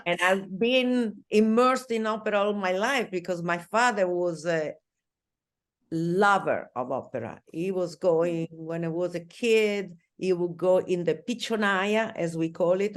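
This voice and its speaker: An Italian woman interviewing a singer, speaking English with an Italian accent in an admiring way, often pausing to find her words. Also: fairly low pitch. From Italy.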